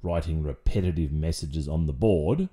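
A man with an Australian accent playing a strict, sarcastic, exasperated maths teacher in an ASMR roleplay, speaking deliberately with pointed pauses. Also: very deep voice, dark tone. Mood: annoyed, scolding.

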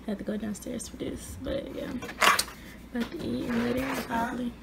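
A young woman in her twenties chatting casually in a vlog from her dorm room, her voice hoarse, filmed on a phone held at arm's length. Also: a little high, fairly even intonation, a little fast. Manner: chatty, rambling, everyday. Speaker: vlogger. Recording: noisy phone recording.

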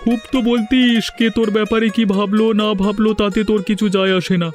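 A man's voice: high-pitched, level tone, fairly quick, soft background music, lightly acted. A man playing a supportive, practical young friend in a moral story cartoon, talking frankly and encouragingly. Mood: concerned. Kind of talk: dramatic cartoon dialogue.

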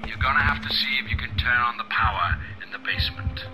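A man's voice: medium pitch, quick pace, noisy background, lightly acted. An actor playing a sadistic, mocking director who taunts his captive and gives orders in a video game. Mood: irritated. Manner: sardonic, taunting, theatrical. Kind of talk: dramatic video game voice acting.

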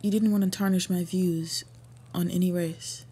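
Orc princess, female performer, fantasy audio drama: soft, dark-toned, very breathy voice, devoted and earnest, pausing often. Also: on the low side, steady tone. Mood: stunned. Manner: earnest, halting, tender delivery with pauses. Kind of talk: fantasy roleplay audio acting.

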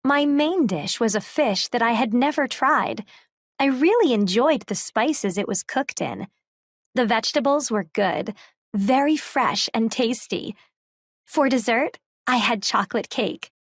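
A woman reading a scripted listening exercise slowly and carefully. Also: a little high, lively intonation, dry recording, studio quality. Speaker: recorded listening exercise speaker. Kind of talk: scripted read-aloud.

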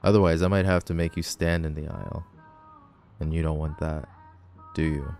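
A man's voice: very deep voice, steady tone, moderate pace, a little mellow, faint music behind. A man playing a cocky, grumpy tall teenage delinquent boy in an audio roleplay, sarcastic, teasing and dismissive. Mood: gruff, teasing. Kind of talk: boyfriend ASMR audio roleplay.

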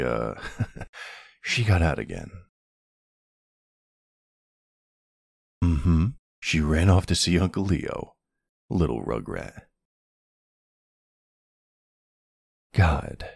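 A man acts out a doting mafia boss husband and father in an intimate audio roleplay. His voice is very deep, with gravelly fry, and he speaks slowly and softly with tender, hesitant pauses. The sound is close and dry. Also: expressive, no echo, full character voice. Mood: tender, loving. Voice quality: gravelly, husky. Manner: soft, unhurried, hesitant pauses. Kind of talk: intimate ASMR roleplay acting.

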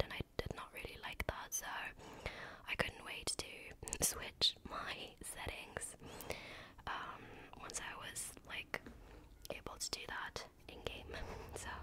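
A woman whose first language is French, whispering softly in a chatty ASMR gameplay talk with many fillers. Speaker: gaming ASMR creator. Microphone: desk microphone.

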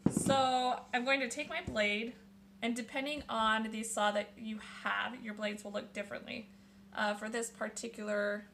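A woman's voice: on the high side, gravelly, fairly bright tone, slight background noise. A young woman hosting a casual woodworking tutorial, chatting while she shows her tools in a small home workshop. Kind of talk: casual how-to demonstration. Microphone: camera on the bench, close.

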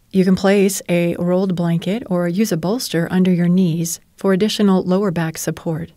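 Mellow, low-voiced woman guiding relaxation. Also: no room sound, clean studio sound. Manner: calm, soothing, measured delivery. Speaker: guided meditation teacher. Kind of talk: guided relaxation narration, yoga nidra.

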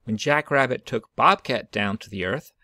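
A man reading a children's picture book aloud in an expressive storytelling style. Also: medium pitch, normal speed, no echo, studio quality. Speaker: storybook reader.

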